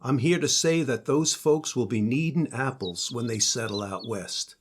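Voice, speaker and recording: A man's voice: mid-range voice, lively intonation, moderate pace, slight background noise, natural voice. A man voicing a tall, commanding pioneer guardian angel in a storybook, with a hearty, folksy, urging manner.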